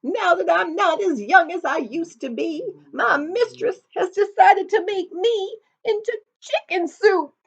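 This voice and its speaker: A woman doing a loud, ragged old rooster. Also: very high voice, a little slow, vocal fry, hardly any pauses, dull audio, voice pushed up, heavily acted. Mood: defiant. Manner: loud, crowing.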